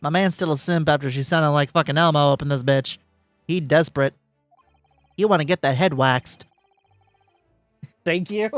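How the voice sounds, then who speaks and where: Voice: mid-range voice, moderate pace, long pauses, no room sound, faint music behind. A streamer giving casual, irreverent commentary on a game, joking in loose slang.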